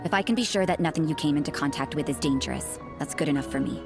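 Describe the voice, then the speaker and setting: A woman playing a stern, cynical young nun in a video game, guarded and suspicious, with a cool, sardonic, deliberate delivery. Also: sits low, music in the background. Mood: grudgingly satisfied. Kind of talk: English video game character dialogue.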